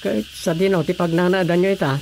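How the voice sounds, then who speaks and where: A man's voice: high-pitched, fairly even intonation, quick pace, gravelly, darkish tone. Guy playing nosy filipino village councillor, radio comedy drama, keeps asking stuff, faint noise behind. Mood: inquisitive. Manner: questioning, conversational. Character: male village councillor, chatty and inquisitive.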